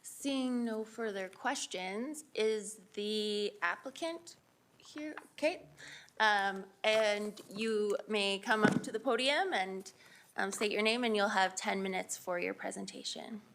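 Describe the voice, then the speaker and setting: A woman chairing a city council public hearing in a measured, procedural way. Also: medium pitch, a bit bright, a little hiss or hum. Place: council chamber.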